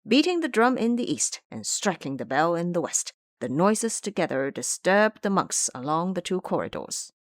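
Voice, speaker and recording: A woman narrating a scripted audio drama in an expressive, theatrical storytelling manner. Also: on the low side, normal speed, hardly any pauses, no room sound.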